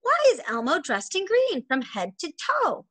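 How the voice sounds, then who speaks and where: A woman in her forties, a preschool teacher, reading a picture book aloud to young children in an expressive, sing-song manner. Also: sits high, a little slow. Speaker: preschool teacher and story time host. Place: small indoor room at home.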